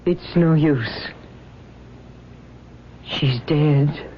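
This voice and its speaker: A cold, scolding sister in an old radio play. She speaks slowly and severely, on a dull broadcast with faint noise. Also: deep voice. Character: stern, bitter spinster sister, cold and scolding. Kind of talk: radio drama acting.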